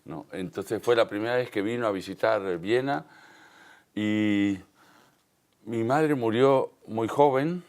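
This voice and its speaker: A man's voice: on the low side, slow, a little mellow. A man from the Río de la Plata with a Rioplatense Spanish accent, answering as an interview guest in an explanatory, emphatic way. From Uruguay and Argentina, Río de la Plata. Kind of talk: conversational television news interview.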